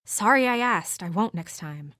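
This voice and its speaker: A woman's voice: medium pitch, expressive, normal speed, fairly bright tone, dry recording, close to an everyday voice. A woman acting as a composed, earnest young investigator in a noir fantasy video game, serious and restrained with a dry edge. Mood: irritated. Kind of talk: video game voice acting, naturalistic dramatic dialogue.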